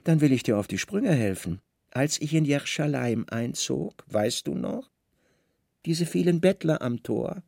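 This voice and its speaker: A man playing a brooding, commanding Roman governor in a radio play, speaking with measured authority and pointed emphasis. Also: a little high, lively intonation, creaky, a little mellow, husky, no echo, studio quality, voice pushed up, heavily acted. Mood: wry, insistent. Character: brooding Roman governor, commanding, wry, insistent on his hunches.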